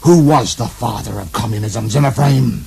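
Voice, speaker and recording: An actor playing a power-crazed rich American tycoon in a wheelchair, bombastic and prone to shouting, in a comic radio play. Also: male voice, lowish voice, moderate pace, creaky, a little hiss or hum. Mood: ranting.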